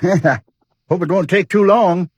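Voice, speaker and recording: A man's voice: high voice, takes it slowly, breathy edge, no room sound, muffled recording, full character voice. A man playing a worried older frontier businessman and guardian in a 1950s radio western, mild and resigned in manner. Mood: affable.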